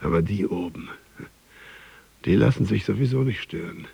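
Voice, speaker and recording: A man's voice: deep voice, normal speed, vocal fry, warm tone, husky, heavily acted. An actor playing a bullied, worn-out office man at the gym, brooding aloud in a resigned way in a radio play. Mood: resigned, bitter.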